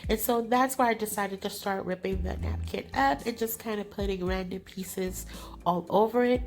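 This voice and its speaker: A woman's voice: mid-range voice, normal speed, faint music behind. A woman hosting a crafting channel, talking casually over her tutorial, sounding nasal and congested from allergies, chatty and apologetic. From California. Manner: chatty, apologetic, laughs often.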